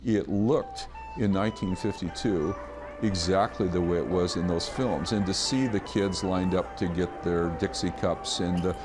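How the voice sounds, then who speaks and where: A man's voice: sits low, fairly even intonation, measured pace, over music. An American man in his sixties from Washington state, recalling local life in a documentary interview. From Grays Harbor, Washington, United States. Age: 60s.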